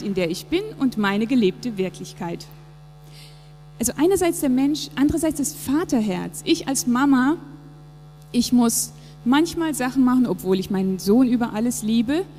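A woman's voice: a little high, warm tone, a little hiss or hum. A woman in her thirties, from Japan, speaking reflectively and earnestly in a church service interview, with some fillers. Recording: long reverb with faint music behind.

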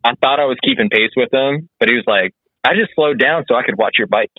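A man in his mid thirties, a guest in a casual chat, easygoing and halting, full of fillers. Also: mid-range voice, slightly rough, no room sound. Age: 30s. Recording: tinny phone-like call line.

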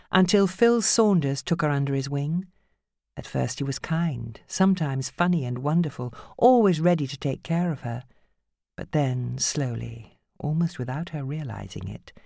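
A woman narrating fiction from a script as an audiobook reader, with a measured, grave and dramatic storytelling manner. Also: low voice, lively intonation, creaky, a little mellow, dry recording, clean studio sound.

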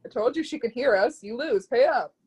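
A cagey, cool young woman in a stage play, acted by a woman. Her voice is fairly high and raspy, with lively swings in pitch. She answers curtly and sardonically. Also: a little fast. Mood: smug, demanding. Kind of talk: readers theatre stage-play acting.

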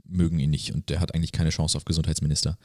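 A man's voice: very deep voice, level tone, rapid-fire, a little mellow, dry recording, studio quality. A man co-hosting a podcast in a casual, chatty way. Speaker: podcast co-host.

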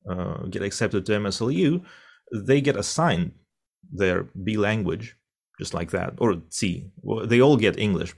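Deep-voiced man, thoughtful. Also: vocal fry. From Russia. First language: Russian. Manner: thoughtful, many fillers and restarts. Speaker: interpreter. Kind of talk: conversational interview answer.

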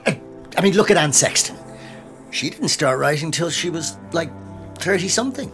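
A man's voice: a little high, expressive, moderate pace, a bit bright, faint music behind. A man playing a charismatic, pushy poetry teacher in a radio drama, coaxing and theatrical. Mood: coaxing, reassuring. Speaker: actor. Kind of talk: naturalistic radio drama acting.

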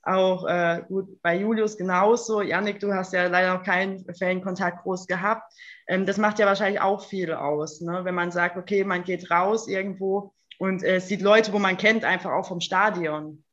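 A woman's voice: on the low side, steady tone, moderate pace, a bit bright, muffled recording. A woman moderating a virtual fan talk, asking friendly, loosely phrased questions with fillers. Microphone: video call.

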